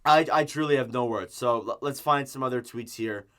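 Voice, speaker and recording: A man's voice: medium pitch, normal speed, fairly bright tone, clean tone. A man with a sore throat from strep giving an emphatic, exasperated, opinionated sports rant as a fan making an online video. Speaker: hockey fan YouTuber.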